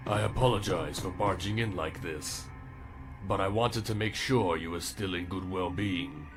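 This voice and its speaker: A man voicing a sinister, scheming villain's second in command in an amateur fantasy machinima, speaking formally and gravely with a creaky voice. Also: deep voice, natural voice. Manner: slow, formal, measured. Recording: home-recorded fan film, music underneath.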